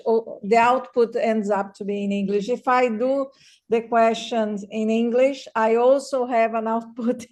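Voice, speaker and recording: A woman whose first language is Portuguese, describing a problem on a video call and hesitantly searching for words. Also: fairly high pitch. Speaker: attendee asking a question.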